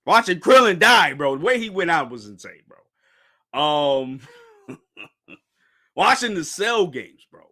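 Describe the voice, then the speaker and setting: Man in his mid-thirties, lively up-and-down pitch, bright forward tone, casual rambling host, says "bro" a lot. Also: takes it slowly, pauses often. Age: 30s. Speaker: podcast host. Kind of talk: casual anime discussion.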